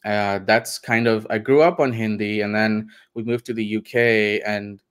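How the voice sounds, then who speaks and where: Guy from india on a video call interview, low smooth voice, kinda laid back. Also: moderate pace. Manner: relaxed, thoughtful, many fillers and hedges. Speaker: interview guest. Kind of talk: casual interview. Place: home room, video call.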